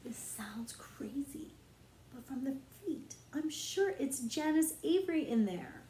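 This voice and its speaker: A bold, imaginative girl with regal airs, acted out by a woman reading a storybook. The voice is higher-pitched and mellow, with lofty phrasing. The room echoes around it. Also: lively intonation, takes it slowly. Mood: confiding. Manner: lofty mock-archaic speech. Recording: roomy echo.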